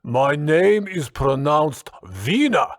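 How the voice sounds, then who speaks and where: A towering, stern gym teacher from a storybook, acted out by a male narrator. He has an Austrian accent and speaks slowly and emphatically, growling with tightly held exasperation. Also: medium pitch, very animated, dry recording, clean studio sound, heavily acted. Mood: indignant growl. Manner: growling, slow, emphatic, restrained.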